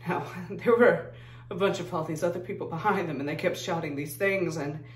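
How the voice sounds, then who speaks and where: Actress as a plain folksy woman grieving, halting dramatic monologue, haunted, roomy sound from a bit away. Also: lowish voice, a little hiss or hum, natural voice. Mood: anguished. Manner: subdued, halting, folksy storytelling. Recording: echoey room, distant microphone.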